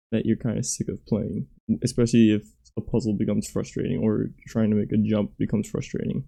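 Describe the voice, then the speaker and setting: Hoarse male game designer, very dark and gravelly, halting self-critical play commentary. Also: lowish voice. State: hoarse, losing his voice. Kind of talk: gameplay commentary.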